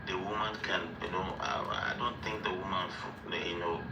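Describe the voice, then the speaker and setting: Man in his forties, very low voice, deliberate and emphatic, roomy call audio with noise behind. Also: fairly even intonation, vocal fry. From Nigeria. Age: 40s. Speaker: call-in guest. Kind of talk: casual group discussion. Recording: roomy distant online call with background noise.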